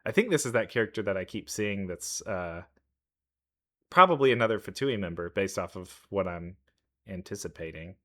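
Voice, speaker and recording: A man's voice: deep voice, lively intonation, normal speed, pushed and bright. A man hosting a reaction video, chatting casually and enthusiastically as he thinks aloud. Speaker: reaction video host, gamer.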